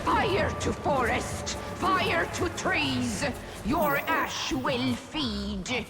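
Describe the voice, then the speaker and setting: A woman voicing an ancient, wrathful spirit of forest and river in a fantasy game, speaking archaic riddles in incantations that often sink into breathy whispers. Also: sits high, lively intonation, very slow, heavily acted. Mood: raging, menacing. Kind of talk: dramatic fantasy game acting. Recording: loud cinematic music behind.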